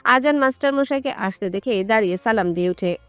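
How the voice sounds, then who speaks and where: Woman narrating a story over soft music. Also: a little high, fairly quick, clean tone. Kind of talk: scripted romantic story narration.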